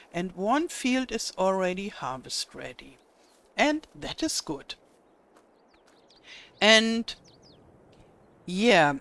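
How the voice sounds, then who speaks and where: A woman's voice: a little high, fairly bright tone. An older woman with a German accent, German being her first language, patiently guiding viewers step by step through a game tutorial with frequent small hesitations. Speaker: gaming tutorial host. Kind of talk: casual game tutorial narration.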